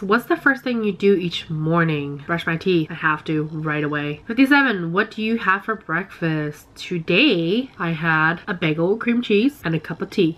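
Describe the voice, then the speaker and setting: Woman, twenties, nasal from a cold, lower voice, chatty vlog answers, faint room hum. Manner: reads each question number brightly, then answers chattily. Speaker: beauty vlogger. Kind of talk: casual question-and-answer vlog. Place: her bedroom.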